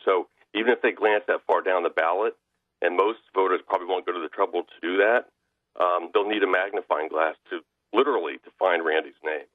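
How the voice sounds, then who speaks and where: Low-voiced American man on a phone line. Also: a little fast, no room sound. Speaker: radio guest. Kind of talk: political commentary. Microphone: telephone. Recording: narrow tinny phone line.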